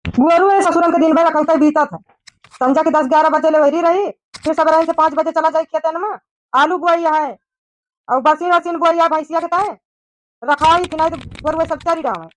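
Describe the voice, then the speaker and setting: A woman plays a young village brother-in-law in a cartoon comedy. The voice is very high and recorded dry, with no room around it. He chats and explains helpfully in an Awadhi accent. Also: fairly even intonation, normal speed, no room sound, rough recording. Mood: explaining.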